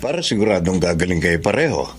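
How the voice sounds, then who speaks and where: A man playing a composed, matter-of-fact doctor in a radio drama, speaking in a measured, explanatory way. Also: low-pitched, big pitch swings, slightly rough, heavily acted. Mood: calm, serious. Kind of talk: Tagalog radio drama acting.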